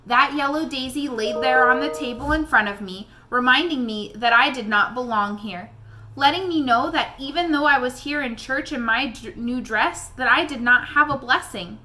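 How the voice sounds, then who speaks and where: A woman reading a children's novel aloud in an expressive storybook style. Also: high-pitched, normal speed, slight background noise, low-quality recording. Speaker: read-aloud reader addressing young listeners.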